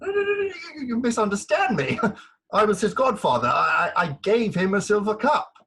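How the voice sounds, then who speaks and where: A man acting a flustered, gallant middle-aged colonel in a comic Victorian farce, stammering and hesitant, with a husky voice. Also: sits high, big pitch swings, normal speed, pushed and bright, exaggerated voice. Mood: flustered politeness. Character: flustered, gallant English army colonel, bumbling and reluctant. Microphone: remote home recording over video call.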